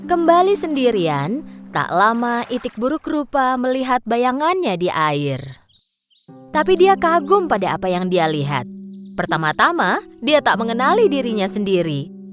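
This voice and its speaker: A woman's voice: a little high, sing-song, measured pace. A woman narrating a scripted children's story as a storyteller. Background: music.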